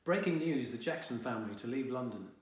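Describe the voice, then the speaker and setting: A man's voice: medium pitch, animated, fairly quick, far from the mic, lightly acted. Echoey man as a sullen teenage boy narrator. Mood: wry, uneasy. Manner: wry, dramatic, exasperated teenage grumbling. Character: sullen, indignant fifteen-year-old boy telling his story. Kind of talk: first-person young adult audiobook reading. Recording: very echoey, reverberant room.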